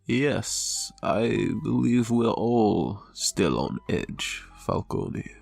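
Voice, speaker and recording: An actor as an ailing, deferential mobster in an audio drama. His low voice is extremely creaky and very slow, every phrase courteous, formal and careful. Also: very animated, a little mellow, soft background music, exaggerated voice. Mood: respectful, uneasy.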